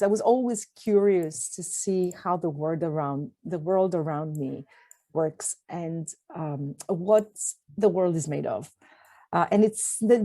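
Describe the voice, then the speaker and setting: Greek-accented woman with a low, mellow voice. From Greece. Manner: thoughtful, with frequent pauses and restarts. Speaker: guest astronomer. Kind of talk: interview answers.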